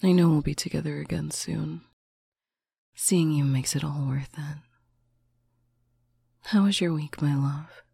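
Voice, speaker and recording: A speaker in a slow, intimate roleplay, talking softly to a faraway partner on a bedtime call, often dropping into a whisper. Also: medium pitch, fairly even intonation, vocal fry, slightly rough, dry recording. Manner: soft, affectionate, often whispered. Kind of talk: intimate roleplay monologue to the listener.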